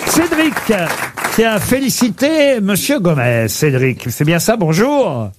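A man's voice: high-pitched, sing-song, takes it slowly, over a noisy crowd, very noisy. A man hosting a radio show, chatting with callers in a teasing, laughing manner. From France. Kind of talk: lively radio chat with callers.

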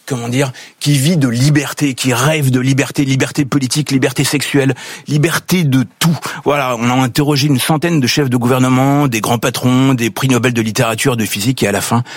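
A man's voice: medium pitch, fairly even intonation, brisk, breathy edge, no room sound. A man giving wry, ironic political commentary on a radio morning show. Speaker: political columnist.

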